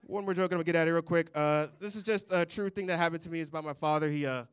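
A man's voice: on the high side, rapid-fire, clear, resonant tone, dry recording. Young man doing dry stand-up. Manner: deadpan, dry delivery with chuckles at his own jokes. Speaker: stand-up comedian. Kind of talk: stand-up set. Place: comedy club stage. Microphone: handheld mic.

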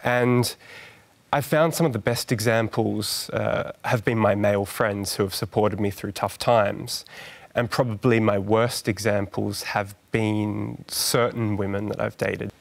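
A man in his thirties with a soft voice, speaking gently and thoughtfully as a guest on a studio panel. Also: on the low side, steady tone, a little slow, creaky. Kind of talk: studio discussion. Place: large television studio.

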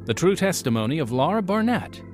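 Theatrical American male announcer, animated delivery. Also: fairly high pitch, fairly quick. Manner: theatrical, emphatic phrasing. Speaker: radio program announcer. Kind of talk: scripted dramatic narration. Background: music.

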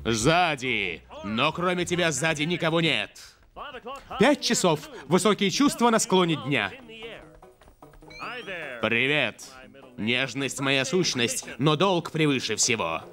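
A man's voice: fairly high pitch, big pitch swings, takes it slowly, pauses often. A man voicing a self-important eagle hero and narrator in a cartoon dub. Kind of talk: cartoon dubbing. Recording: music underneath.

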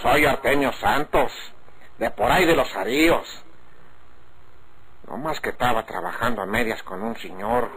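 A man playing an easygoing, chatty sharecropper with a rural northern Mexican accent and a gravelly voice, in a radio drama. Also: a little high, animated, moderate pace, pauses often, fairly bright tone, heavily acted, rough recording. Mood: folksy explaining. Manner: folksy, rambling. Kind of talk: old-time radio drama acting. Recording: faint noise, cattle, old radio serial.

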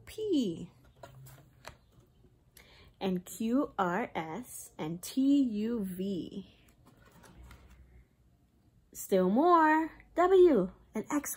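Slow, breathy young woman reading to children. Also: mid-range voice, lively intonation. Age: young adult. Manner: sing-song, addresses young children, invites joining in. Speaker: Montessori preschool teacher. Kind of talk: animated read-aloud of a children's picture book.

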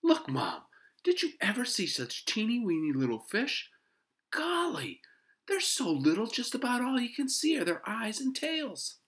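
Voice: medium pitch, wildly swooping pitch, measured pace, fairly bright tone, voice pushed up. An adult reader voicing a young schoolboy, eager and earnest, in a children's storybook. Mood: delighted.

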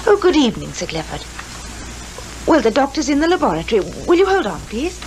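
An actress playing a polite, tentative detective's assistant with a British accent in a 1950s radio drama. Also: female voice, high-pitched, sing-song, a little fast, darkish tone. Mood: flustered. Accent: British English. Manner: polite, tentative, easily interrupted. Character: polite, flustered female assistant to a domineering detective. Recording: noisy 1950s radio recording.

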